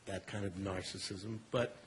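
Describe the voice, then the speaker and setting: A man offering a comment from the floor at a panel discussion, talking haltingly with many fillers and repeated words. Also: low-pitched, steady tone, slight background noise. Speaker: audience member at a panel. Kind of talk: thoughtful spoken comment.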